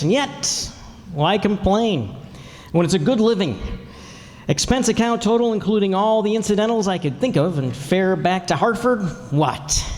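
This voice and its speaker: An actor playing a wry, world-weary insurance investigator who narrates his case theatrically in an old-time radio detective drama. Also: sits high. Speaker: radio play actor in the lead role. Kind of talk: 1940s-50s radio detective drama acting. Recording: echoey hall live stage recording, noisy.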